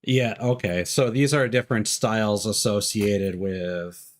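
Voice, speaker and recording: A man giving casual live gameplay commentary as a streamer, low-key and thinking aloud as he plays. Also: a little slow. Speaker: video game streamer. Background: game music.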